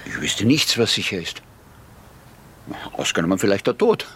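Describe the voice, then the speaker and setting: An actor playing a breezy, eccentric, self-assured gentleman sleuth in a radio drama, with casual stammered repeats. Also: on the low side, big pitch swings, moderate pace, breathy edge, full character voice. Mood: assured, philosophical.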